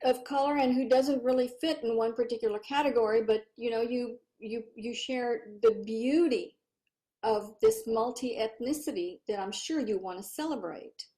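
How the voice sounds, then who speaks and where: Higher-voiced woman podcast host. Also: moderate pace, dark tone. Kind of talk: podcast interview.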